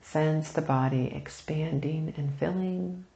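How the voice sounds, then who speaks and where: Slow, low-voiced woman guiding meditation. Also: creaky, reverberant. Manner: soft, soothing, unhurried instruction with long pauses. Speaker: meditation teacher. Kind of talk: guided meditation.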